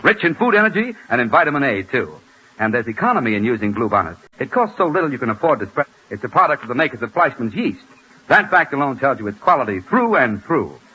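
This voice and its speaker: An American man announcing a 1940s radio commercial with emphatic, deliberate salesmanship. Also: mid-range voice, big pitch swings, sharp tone, husky. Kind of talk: 1940s radio advertising read. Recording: muffled, faintly noisy 1940s radio broadcast.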